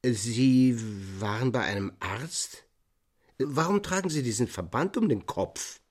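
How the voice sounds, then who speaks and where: Medical professor, lively swooping intonation, unhurried, brusque, puzzled, authoritative, radio play. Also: male voice, medium pitch. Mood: puzzled, skeptical. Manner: deliberate, questioning, brusque. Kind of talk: German radio play acting.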